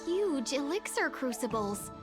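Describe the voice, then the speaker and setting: An actress playing a wide-eyed, excitable young woman in a fantasy video game, with game music underneath. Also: high-pitched, unhurried. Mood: amazed. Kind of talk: scripted video game dialogue.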